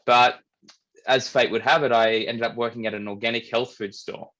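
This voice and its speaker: A young Australian man from New South Wales, a men's coach, telling his life story in a relaxed, candid way and often repeating words as he thinks. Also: medium pitch, normal speed, poor audio. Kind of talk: casual storytelling interview.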